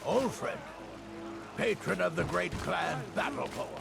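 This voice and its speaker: An actor playing a clan-loyal townsman in a fantasy game, with a creaky, gravelly voice. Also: sits high, animated, measured pace, heavily acted. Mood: proud. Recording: loud noise behind, distant game audio.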